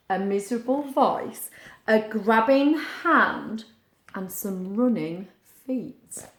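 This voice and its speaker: A woman reading a picture book aloud to young children, expressive, with chatty asides and questions. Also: medium pitch. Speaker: children's story reader. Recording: roomy, echoing sound.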